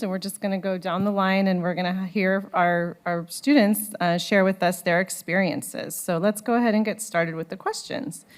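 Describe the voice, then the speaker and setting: A woman moderating a student panel at a conference into a handheld microphone, organized, with some restarts and fillers. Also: medium pitch. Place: conference room.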